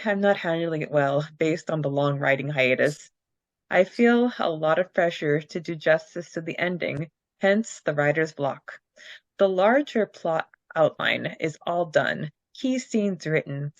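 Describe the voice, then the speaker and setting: Low-voiced chatty woman podcaster. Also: animated, unhurried, no room sound, muffled recording. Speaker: podcast co-host. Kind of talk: casual chat and reading listener questions aloud.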